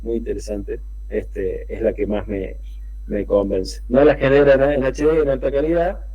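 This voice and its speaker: A man giving an online talk, showing tools on his screen in a halting way with many fillers and restarts. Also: mid-range voice, little pitch movement, husky, dull audio. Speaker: guest speaker on AI tools.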